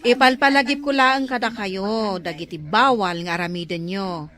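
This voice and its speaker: A woman's voice: medium pitch, normal speed, sharp tone, close to an everyday voice. A woman acting as a supervisor who admonishes her workers in a comedy skit. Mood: admonishing. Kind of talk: comedy skit dialogue in Ilocano.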